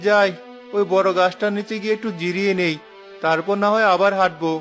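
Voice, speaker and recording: A man voicing a gentle, wronged young orphan nephew who speaks earnestly, in a cartoon. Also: high voice, steady tone, brisk, soft background music. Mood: hurt. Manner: earnest, hurt. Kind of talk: cartoon voice acting.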